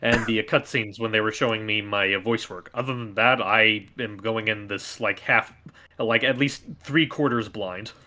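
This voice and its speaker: A man's voice: on the low side, normal speed, pushed and bright. A man appearing as a voice actor guest on a game stream, chatting casually and cracking jokes. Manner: upbeat, joking, with many fillers. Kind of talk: casual live-stream chat.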